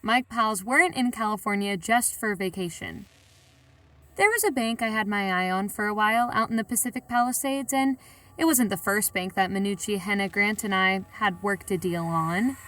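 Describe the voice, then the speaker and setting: A woman's voice: medium pitch, moderate pace, a bit bright, recorded from a distance, natural voice. A woman playing a wary bank robber in an audio drama, with a colloquial, matter-of-fact way of talking. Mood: matter-of-fact, confiding. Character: Rose, wary female bank robber recounting her heist. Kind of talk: audio drama acting and first-person narration.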